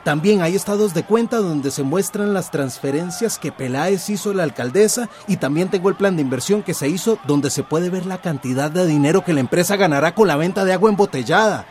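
Radio drama casting: actor playing earnest male informant, high voice, outdoor noise around him. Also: fairly quick, hardly any pauses, darkish tone, lightly acted. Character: earnest male whistleblower handing over evidence against company.

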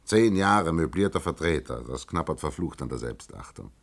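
A man's voice: extremely low pitch, lively intonation, moderate pace, vocal fry, full character voice. An actor in a science-fiction radio play voicing a proud, vain alien researcher who poses as a human salesman and speaks with earnest, pompous emphasis. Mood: wry, confiding.

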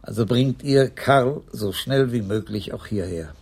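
A man's voice: mid-range voice, a little slow, creaky, a little mellow, breathy edge. A male actor in a radio crime drama playing an unflappable, impish police commissaris in his sixties with a dry, teasing manner. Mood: calm, kindly. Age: 60s.

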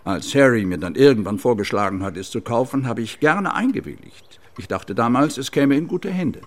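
An actor playing a wealthy, guarded gentleman in a German radio crime drama, speaking formally and with deliberate measure. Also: mid-range voice, expressive, natural voice. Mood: composed, measured. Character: wealthy, guarded gentleman of an old family estate.

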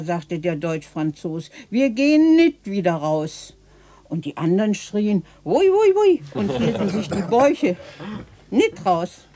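Slow, mellow old widow storyteller. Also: female voice, fairly low pitch. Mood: lively, exclaiming. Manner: folksy, unhurried, vivid oral storytelling. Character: old widowed townswoman recalling her stubborn late husband. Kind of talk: German radio play storytelling monologue.

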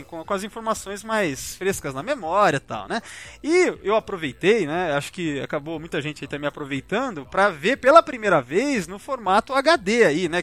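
High-pitched, animated Brazilian man hosting. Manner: informal, slangy, rambling. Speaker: podcast host. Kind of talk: casual podcast commentary on TV episodes.

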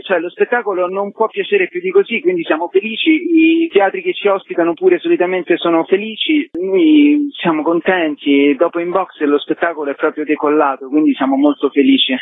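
A man's voice: sits high, dry recording. An Italian man, a theatre actor and writer, talking conversationally and wryly as a radio interview guest. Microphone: phone call to a radio show. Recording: phone-like narrow-band line.

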